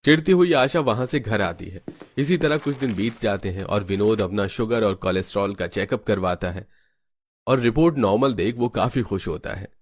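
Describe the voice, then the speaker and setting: A man narrates a cartoon family story in a low-pitched voice. His delivery is scripted storytelling. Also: normal speed.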